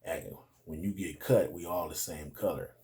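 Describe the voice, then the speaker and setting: A middle-aged American man speaks in a fairly low voice. He tells personal stories in a casual, unscripted way. He rambles and circles back through digressions, with plenty of street slang. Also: moderate pace, darkish tone. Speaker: storyteller recalling his youth.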